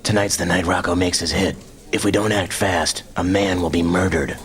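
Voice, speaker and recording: A man's voice: sits low, steady tone, moderate pace, natural voice. A man playing a self-important, bumbling crime fighter who poses as a mysterious specter, pompous and theatrical, in a comic superhero audio drama. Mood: earnest, scheming.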